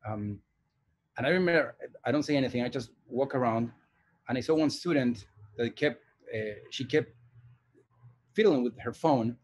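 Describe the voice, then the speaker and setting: A man's voice: mid-range voice, moderate pace, long pauses. A man in his forties from Argentina, an animation director and teacher, talking thoughtfully with many hesitations and restarts in a casual interview. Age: 40s.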